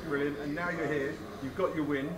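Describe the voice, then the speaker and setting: A man's voice: fairly high pitch, steady tone, fairly quick. A male interviewer asking long, multi-part questions at a fight event. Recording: distant, echoey, loud background noise. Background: loud venue noise.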